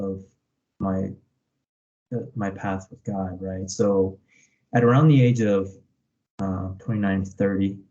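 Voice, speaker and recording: Deep male voice, flat intonation, halting reflective interview talk, dull video-call audio. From born in Vietnam, grew up in America from age five. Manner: halting and searching, often adds "right?". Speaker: interview guest, spiritual practitioner.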